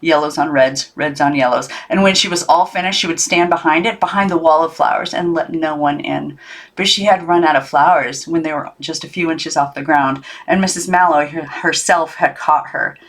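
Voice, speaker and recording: A woman reading a children's novel aloud as an audiobook narrator, in an expressive storybook style. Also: low-pitched, moderate pace. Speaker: audiobook reader.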